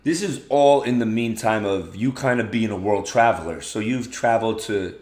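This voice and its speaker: A man's voice: lowish voice, normal speed, a little mellow, roomy sound. A man hosting a podcast, asking questions casually and encouraging the guest with frequent backchannels. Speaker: podcast host. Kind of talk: interviewing, casual.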